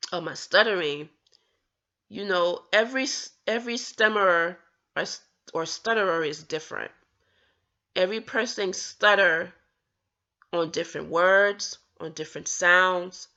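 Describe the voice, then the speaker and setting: A woman's voice: lowish voice, pushed and bright, highs cut off. A woman vlogger talking earnestly about her own stutter, with occasional stammered restarts as she encourages others. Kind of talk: personal talk to camera.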